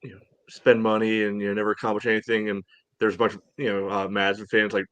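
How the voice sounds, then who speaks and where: A man co-hosting a sports fan podcast in casual chat, with a dry, teasing manner and halting storytelling. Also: lowish voice, little pitch movement, a bit bright, no room sound, lo-fi sound. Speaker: podcast co-host.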